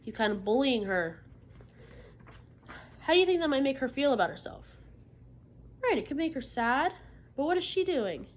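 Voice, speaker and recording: A woman reading a picture book aloud to children like a teacher, expressively, pausing to put encouraging questions to her listeners. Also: sits high, big pitch swings. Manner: encouraging, checks understanding with frequent questions. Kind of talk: interactive storybook read-aloud with questions to the listeners.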